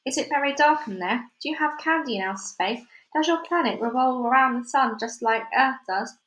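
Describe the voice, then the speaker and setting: A woman's voice: on the high side, natural voice. A woman voicing a curious, headstrong young girl who fires off eager questions, in an echoey room. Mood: excited. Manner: rapid-fire eager questions.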